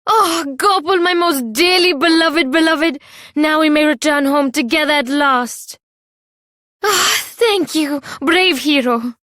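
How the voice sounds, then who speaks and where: An actress playing a melodramatic, lovestruck young damsel in a video game, gushing in florid phrases. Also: female voice, high voice, slow, a bit bright, no room sound, studio quality. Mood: adoring. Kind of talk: theatrical video game voice acting.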